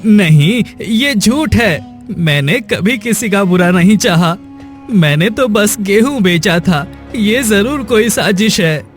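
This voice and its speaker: A man's voice: high voice, lively intonation, slow pace. A man playing an honest, hardworking poor farmer in a cartoon moral story, speaking earnestly. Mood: anxious. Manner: earnest, pleading when accused. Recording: music behind the voice.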